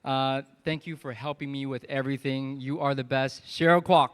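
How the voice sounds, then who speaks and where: A man's voice: medium pitch, steady tone, normal speed, a little hiss or hum. A man emceeing a live event, upbeat as he introduces the next speaker. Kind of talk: stage introductions. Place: event hall with audience. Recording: hall reverb, faint crowd.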